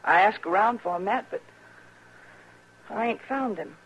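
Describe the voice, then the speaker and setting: An actress playing a worried, stoic ranch wife with a rural western American accent, plainspoken and halting, in 1950s radio drama style. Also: female voice, mid-range voice, slightly rough. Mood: anxious, holding back. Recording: muffled vintage radio recording.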